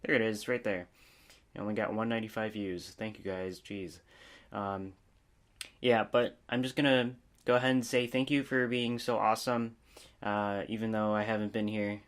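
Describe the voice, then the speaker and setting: Casual male video reviewer, lowish voice, informal and rambling, self-deprecating, unscripted delivery. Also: steady tone, moderate pace. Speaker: app review video host.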